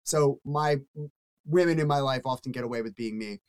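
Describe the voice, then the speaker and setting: A man with a naturally fairly high-pitched voice, a middle-pitched talker for his sex. He hosts a casual podcast interview, rambling through long, animated questions and breaking off his own sentences. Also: normal speed. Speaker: podcast host.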